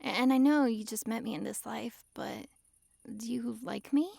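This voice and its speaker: Woman doing a high voiced yandere fox girl, giggly and clingy, sweet but bossy, super dry intimate asmr sound. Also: a little slow, creaky, no echo, full character voice. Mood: shy, hesitant. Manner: sweetly controlling, giggly, swings between gushing and hushed coaxing. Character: possessive, lovestruck young-sounding fox-girl mage, dominant yet giddy. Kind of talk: intimate ASMR roleplay addressed to listener.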